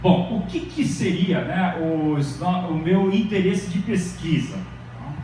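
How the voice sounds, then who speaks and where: A man's voice: sits high, lively intonation, slow, warm tone, slight background noise. A middle-aged Brazilian man gives an informal university lecture. He talks in a chatty way and keeps checking in with the audience. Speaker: university professor giving a lecture. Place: large echoey lecture hall. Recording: very echoey hall from far off.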